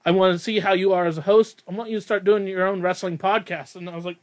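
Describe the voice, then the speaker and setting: A man's voice: high-pitched, fairly even intonation, fast talker. A young man hosting a casual podcast, rambling and self-deprecating, laughing often. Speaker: podcast host.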